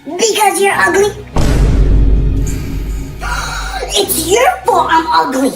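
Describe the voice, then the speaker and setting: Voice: very high voice, animated, moderate pace, creaky, roomy sound, music in the background, full character voice. A performer voicing a bratty, mouthy young boy in a cartoon comedy skit, whiny and full of blunt back-talk. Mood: defiant. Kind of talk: exaggerated comedy skit acting.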